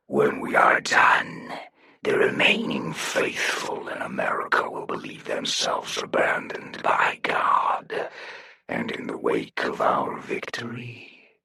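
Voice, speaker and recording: A man voicing a sinister male cult leader whose voice sounds like many, slow and whispery. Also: a bass voice, vocal fry, sharp tone, pitched below the performer's own voice, full character voice.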